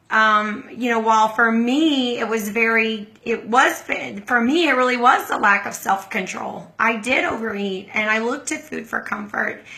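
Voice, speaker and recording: Hoarse woman chatting on a livestream. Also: fairly high pitch, sharp tone. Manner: chatty, greets viewers by name. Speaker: livestream host. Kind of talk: casual livestream talk to viewers.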